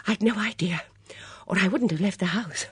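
A woman reader acts out a vague, good-natured middle-aged lady in an audiobook. She is breathless and flustered, rambling and hesitating. The voice sits higher and flutters widely in pitch. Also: wildly swooping pitch, normal speed, darkish tone, husky, dry recording, full character voice. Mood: eager, breathless.

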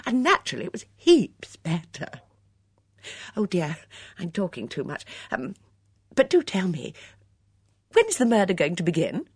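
A woman's voice: lowish voice, huge pitch swings, a little slow, pauses often, breathy edge, dry recording, studio quality, full character voice. A woman voicing a gushing, chatty vicar's wife in an audiobook, breathless and rambling in manner. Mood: eager. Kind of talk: audiobook character acting.

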